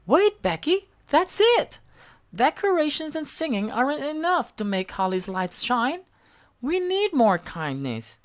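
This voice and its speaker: A woman's voice: high voice, big pitch swings, unhurried, voice pushed up. A woman voicing a small, eager, kindhearted furry monster child for a storybook read-aloud. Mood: excited. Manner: refers to self in third person.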